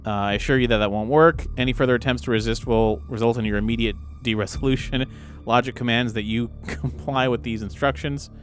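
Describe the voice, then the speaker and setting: Man, tabletop player, average pitch, informal table talk, quick interjections, faint music behind. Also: steady tone. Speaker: player in a tabletop role-playing game. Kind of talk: casual game table talk, some reading aloud.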